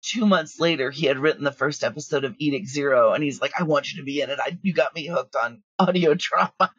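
A woman with a husky voice in a casual, rambling, anecdotal interview as a guest. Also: deep voice, a little fast, no echo. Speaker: interview guest, audio drama creator. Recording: dull, lo-fi, dry sound.

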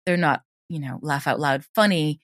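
A woman's voice: deep voice, moderate pace, no echo, clean studio sound. A woman speaking as a writer and interview guest, explaining things in a thoughtful, conversational way. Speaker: audio drama writer and sound designer, interviewed guest.

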